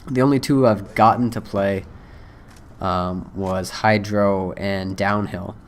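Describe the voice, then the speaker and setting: A young man, hoarse from a cold, casually rambling through his first impressions with lots of ums and uhs. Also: on the low side, fairly even intonation, takes it slowly, a little hiss or hum. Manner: rambling, many fillers. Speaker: gaming video creator.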